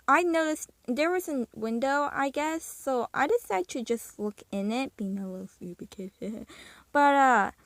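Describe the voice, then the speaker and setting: A woman's voice: sits high, no echo. Young woman rambling through a story. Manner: rambling, self-interrupting with fillers. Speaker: YouTuber. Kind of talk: casual storytelling to camera.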